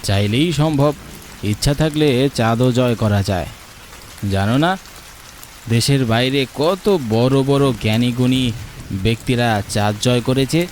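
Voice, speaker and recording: A man's voice: medium pitch, normal speed, pauses often, a little hiss or hum, lightly acted. A man voicing a playful, coaxing young husband in a cartoon, in a teasing, cajoling manner. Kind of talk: cartoon voice acting. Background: music and rain.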